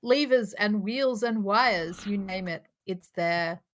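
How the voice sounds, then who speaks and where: A woman's voice: medium pitch, slow pace. A woman reading stage directions as narrator at a live table read, in a matter-of-fact manner.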